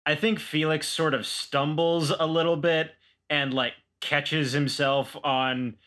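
A man's voice: on the high side, little pitch movement, slow pace, fairly bright tone. A man playing a tabletop game, casually improvising what his character does. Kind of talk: casual improvised roleplay narration.

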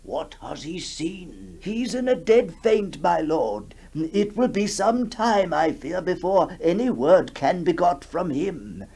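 A man acting a shaken, formal witness recounting horrors haltingly in a 1960s radio horror drama. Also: high voice, very animated, dark tone, husky, full character voice. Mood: alarmed. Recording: noisy 1960s radio recording.

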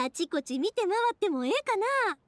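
A woman playing an excitable, kind-hearted young traveller in an anime-style game, with a Kansai Japanese accent. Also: very high-pitched, moderate pace, slight background noise, heavily acted. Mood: curious, cheerful. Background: game music.

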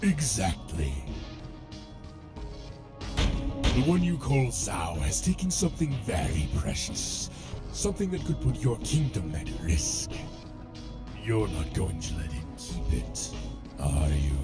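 A man's voice: deep voice, huge pitch swings, slow, pauses often, heavily acted. A man playing a menacing, manipulative alien warlord in a video game, commanding and insinuating. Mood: sly persuasion. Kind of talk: video game cutscene acting. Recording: game music over the voice.

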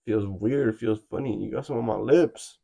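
A man's voice: fairly low pitch, moderate pace, vocal fry, warm tone, slightly rough. A young man, chatting and joking with his girlfriend in a bedroom while she does his makeup, laughing often and using loose slang. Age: young adult. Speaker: vlogger. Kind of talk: casual chat. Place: small bedroom, indoors.

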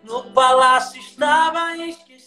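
A man's voice: very high voice, steady tone, moderate pace, pushed and bright, echoey room, faint music behind. A young man preaching fervently on a live stream from a small room, slipping into song, filmed on a phone at arm's length. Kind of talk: preaching and singing on a live video call. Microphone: phone camera at arm's length.

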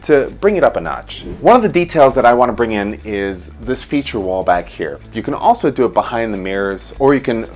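A man in his thirties hosting a design show, walking through a floor plan in an animated way from his home office on a lapel mic. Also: medium pitch, fairly quick, hardly any pauses. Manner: animated, gestures while explaining. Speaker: interior designer host.

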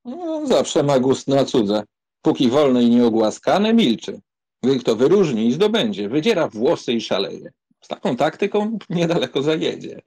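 An actor playing a proud, hot-tempered young count in a dramatised audiobook, haughty and sardonic. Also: male voice, medium pitch, very animated, normal speed, a little mellow, breathy edge. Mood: mocking, irritated.

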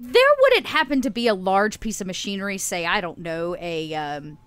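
A woman's voice: mid-range voice, lively intonation, moderate pace, fairly bright tone, smooth. A woman talking casually and playfully as a player in a tabletop game. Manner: playful, informal.

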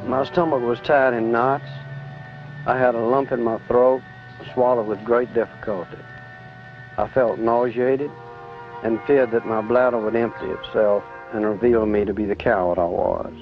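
A man's voice: mid-range voice, fairly even intonation, normal speed, soft background music. A man giving a scripted, first-person memoir reading with grave, literary phrasing. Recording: dull old film soundtrack.

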